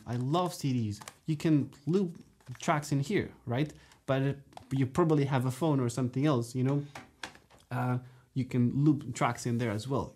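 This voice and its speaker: A man in his thirties gives a saxophone lesson straight to camera, speaking at a middling pitch. He is animated and gestures as he talks. He keeps checking in with "okay?" and "you know" between points, in a studio room. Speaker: saxophone teacher. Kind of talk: direct-to-camera music lesson. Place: dark studio room, indoors.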